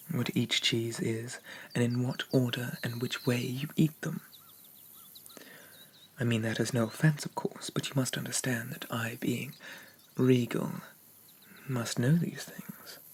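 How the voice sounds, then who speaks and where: A man playing a haughty, possessive demon prince of dreams in an ASMR roleplay, speaking formally and pompously in a soft, often whispered voice. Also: medium pitch, a little hiss or hum, close to an everyday voice. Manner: pompous, formal, archaic diction. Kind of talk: ASMR roleplay, addressing the listener.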